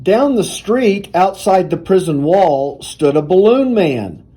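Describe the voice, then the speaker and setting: A man reading a children's picture book aloud in an animated, deliberate storytelling manner. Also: high-pitched, husky, poor audio.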